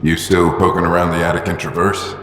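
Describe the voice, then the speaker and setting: A man voicing a gruff middle-aged father and veteran explorer who talks in a halting, awkward way. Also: sits low, little pitch movement, fairly bright tone, a little hiss or hum. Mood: reflective. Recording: echoey reverberant room.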